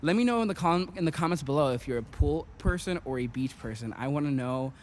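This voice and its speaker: A man's voice: on the high side, lively intonation, fast, a little hiss or hum. A man in his twenties from Puerto Rico, chatting casually between songs in a rambling way, full of "like" and "you know". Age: 20s. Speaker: singer introducing songs.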